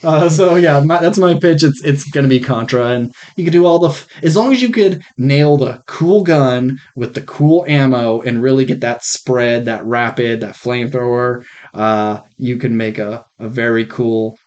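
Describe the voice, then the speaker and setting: Mid-pitched man riffing on a rough podcast mic. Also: moderate pace, hardly any pauses, a little mellow. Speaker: podcast co-host. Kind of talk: casual, jokey chat. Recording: rough, faulty podcast microphone.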